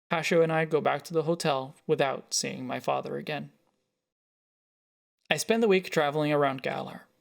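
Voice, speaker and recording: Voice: mid-range voice, creaky. An author reading their own first-person short story as scripted narration.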